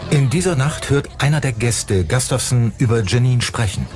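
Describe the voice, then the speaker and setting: A man's voice: mid-range voice, animated, normal speed. Male true-crime narrator over music. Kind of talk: scripted true-crime documentary narration in German.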